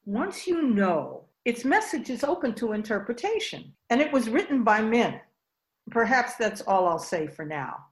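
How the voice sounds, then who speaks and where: A woman voicing a wise, ancient spirit who keeps souls, teaching patiently and deliberately in a scripted radio drama. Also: fairly high pitch, sing-song. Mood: measured, guarded. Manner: measured, deliberate, didactic, solemn.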